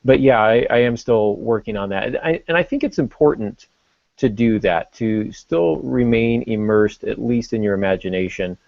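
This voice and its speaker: A middle-aged man hosting a podcast, talking casually in a halting, reflective way and correcting himself as he goes. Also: lowish voice, creaky, no echo, lo-fi sound. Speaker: podcast host.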